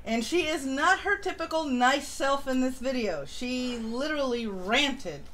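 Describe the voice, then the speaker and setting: A woman's voice: sits high, animated, unhurried, fairly bright tone, rough recording. A woman co-host giving casual commentary on a live stream. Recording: home live stream with background noise.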